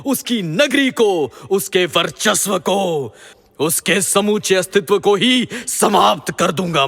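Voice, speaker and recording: A man's voice: a little high, pushed and bright, full character voice. An actor playing an arrogant, vengeful king of the gods in a mythological audio drama, menacing and boastful. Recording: long reverberant hall echo.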